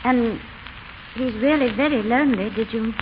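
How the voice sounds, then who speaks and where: Actress as a high-voiced, tender young Englishwoman in love. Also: moderate pace, slight background noise, close to an everyday voice. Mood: tender, pleading. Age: young adult. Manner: tender, earnest, gently teasing. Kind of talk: 1930s radio drama acting. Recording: muffled 1930s radio broadcast, faint music.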